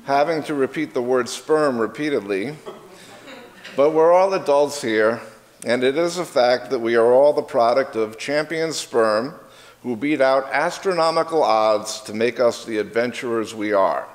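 A middle-aged man preaching a sermon from a church pulpit microphone, his voice rising in pitch and force as he builds. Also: measured pace. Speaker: pastor. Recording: reverberant church hall.

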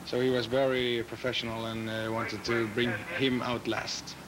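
Flat-voiced ship officer, man. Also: medium pitch, moderate pace, crowd noise. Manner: flat, hesitant with many fillers. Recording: noisy outdoor interview.

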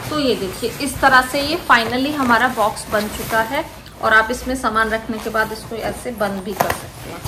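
A woman with a fairly high voice walks through a product demo in a relaxed, chatty way, explaining each step as she goes. Steady noise from the car and street sits behind her. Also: moderate pace. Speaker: vlogger reviewing a car bed. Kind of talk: casual product demo vlog. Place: inside a car.

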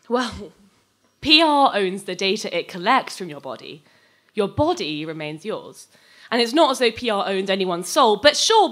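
A young adult woman giving a live, scripted dramatic reading of fiction on stage into a microphone, moving between measured narration and conversational dialogue. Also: medium pitch, a bit bright. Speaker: reader of a short story. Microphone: handheld-type microphone on a stand, close.

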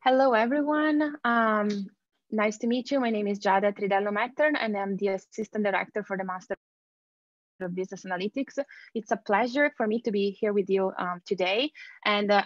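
A woman's voice: medium pitch, no room sound. Woman moderator on a dull dry call. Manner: polite, slightly halting, with fillers. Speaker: assistant program director, moderator. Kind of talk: moderating a panel. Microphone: video call. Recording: dry, dull-sounding video call audio.

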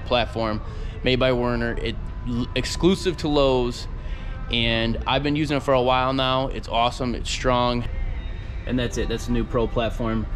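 Guy in his 30s vlogging down store aisles, handheld cam, loose chatty and excited, music playing behind. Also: medium pitch. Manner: chatty, enthusiastic, loose with fillers and restarts. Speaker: handyman vlogger. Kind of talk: casual walk-through product vlog. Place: big hardware store aisles, indoors. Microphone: handheld camera at arm's length.

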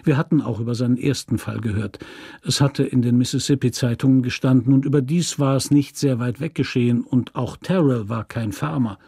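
Male narrator, scripted literary reading, husky, mellow, dry sound. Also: mid-range voice, a little fast, no room sound.

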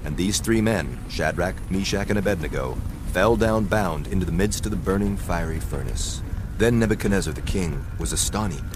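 A man narrating scripture from a script in a solemn, measured manner. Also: deep voice, background noise. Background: music.

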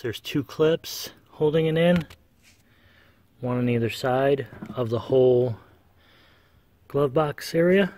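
Slow-talking man explaining a car repair. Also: medium pitch, a little mellow. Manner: plain, unhurried, talks while working with tools. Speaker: car repair how-to presenter. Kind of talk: casual step-by-step repair demonstration. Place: inside a car, under the dashboard.

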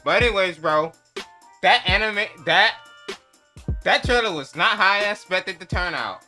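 A young man with a fairly high voice talks as a reaction host. He is hyped and casual, full of slang and swearing, with clear music sometimes running behind him. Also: lively intonation, a little slow, sharp tone. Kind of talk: casual reaction commentary to camera.

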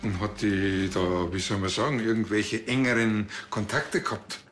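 A man's voice: fairly low pitch, fairly even intonation, normal speed, a bit bright, natural voice. Blunt Bavarian policeman, played by a man, roomy sound. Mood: dry, probing. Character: blunt, touchy, bumbling small-town policeman, middle-aged. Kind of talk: naturalistic TV comedy-crime acting. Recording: roomy sound, faint music behind.

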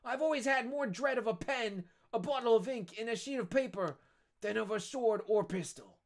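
A man's voice: very high voice, moderate pace, pitched above the performer's own voice. A man voicing a drunk, uneasy man in a read-aloud novel.

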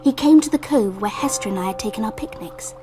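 A woman playing a thoughtful young girl, measured and earnest as she tells a radio drama story. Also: medium pitch, lively intonation, over music, close to an everyday voice. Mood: reflective. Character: thoughtful young English girl recounting a summer. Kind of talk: radio drama narration and acting. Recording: faint background noise.

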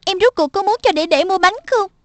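A woman voicing a bossy but caring schoolgirl big sister in a cartoon dub, firm and deliberate. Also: very high-pitched, no room sound. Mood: indignant.

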